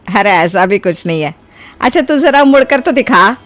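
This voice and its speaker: A woman playing a kind, generous older mother-in-law in an acted moral story, speaking in an expressive, colloquial, motherly way. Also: medium pitch, normal speed, faint music behind, natural voice. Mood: kindly scolding.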